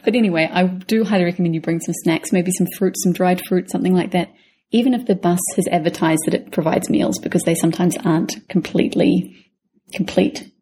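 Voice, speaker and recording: Brisk husky New Zealand woman. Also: lowish voice, warm tone. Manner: brisk, practical advice-giving. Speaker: podcast co-host. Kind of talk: casual travel podcast chat.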